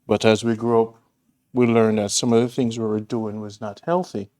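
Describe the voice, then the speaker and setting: A man's voice: lowish voice, flat delivery, normal speed, darkish tone. A man with a Jamaican accent, from Jamaica, hosting a casual cooking demonstration in his home kitchen, chatting and rambling with homely asides as he works. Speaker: cooking show host.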